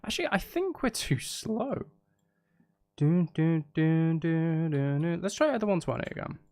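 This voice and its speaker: Male streamer, casual and thinking aloud, animated pitch with some fry, music-making session. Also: a little slow. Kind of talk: casual livestream chat while making music. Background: electronic drum beats and synth sounds.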